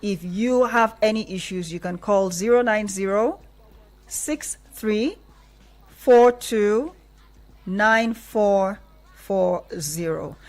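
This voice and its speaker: A female radio presenter with a Nigerian accent speaks at a medium pitch. She hosts live from a studio, addressing her guests and her listeners directly. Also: animated, takes it slowly, a little mellow. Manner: affirming interjections while guests talk. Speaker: radio host.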